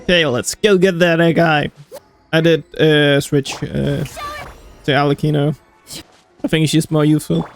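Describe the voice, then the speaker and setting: A man giving casual, halting, low-key commentary on his own video game playthrough, with music behind. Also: level tone, gravelly.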